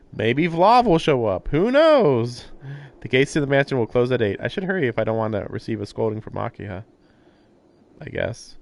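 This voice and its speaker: A man's voice: mid-range voice, vocal fry, no room sound. A man streaming a visual novel, reading the text aloud and mixing in casual commentary, with faint noise behind him.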